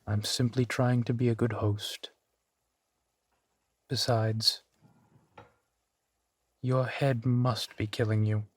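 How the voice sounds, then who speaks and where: A man's voice: mid-range voice, flat delivery, slow pace, long pauses, slightly rough, no echo. A man voicing a suave, genteel vampire centuries old, speaking unhurriedly and formally to the listener in an intimate audio roleplay. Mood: courteous, hospitable. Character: suave male vampire, four centuries old, genteel, playfully amused host.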